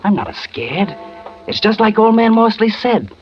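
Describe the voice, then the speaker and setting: Desperate gambling husband, wildly swinging pitch. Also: male voice, very animated, normal speed, soft background music. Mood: excited. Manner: slangy, colloquial, pleading and snapping. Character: shiftless gambling husband, agitated, defensive, desperate. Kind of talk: 1940s radio thriller acting. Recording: muffled, noisy old radio recording.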